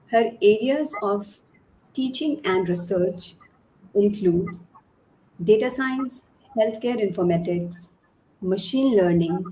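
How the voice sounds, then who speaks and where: A woman with an Indian accent hosting an event, formally reading out a speaker introduction in measured phrases. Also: medium pitch, mellow, slight background noise, low-quality recording.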